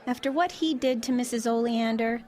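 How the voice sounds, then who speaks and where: A woman's voice: fairly high pitch, moderate pace, darkish tone, a faint crowd, close to an everyday voice. An actress playing a dry, level-headed small-town woman sleuth in an audio drama, understated and wry. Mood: wry, satisfied.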